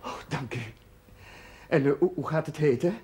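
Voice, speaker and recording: An actor playing a gentle, hesitant chaplain in a television drama, speaking deferentially and often repeating his words. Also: a little high, moderate pace, slightly rough. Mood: flustered, concerned. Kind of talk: naturalistic television drama dialogue.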